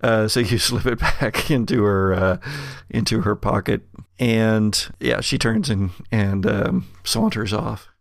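A man improvising narration as game master of a tabletop game, piecing his sentences together haltingly. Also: on the low side, vocal fry, dry recording, studio quality.

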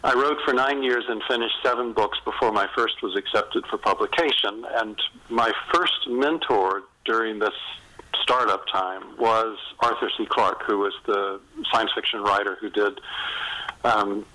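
A middle-aged or older man talking casually as an author in an interview, enthusiastic and self-deprecating, often halting mid-sentence to find his way. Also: lowish voice, bright, forceful tone, low-quality recording. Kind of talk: casual conversational interview, anecdotal.

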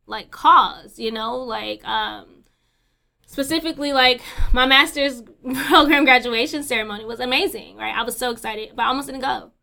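A woman's voice: sits high, normal speed, vocal fry, sharp tone. A young woman co-hosting a podcast, talking candidly with lots of fillers, swearing and laughter. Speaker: podcast co-host. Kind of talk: casual chat.

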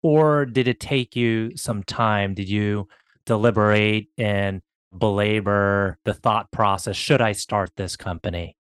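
A male host asks long, thoughtfully built questions. His low, smooth and resonant voice is recorded dry and close, with no room echo. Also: slow. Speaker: podcast host. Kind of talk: interview questions.

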